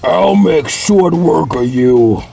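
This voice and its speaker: A man voicing a brutish, boastful male villain who shouts in a slow, gravelly growl. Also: a little high, lively intonation, faint music behind, exaggerated voice. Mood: menacing.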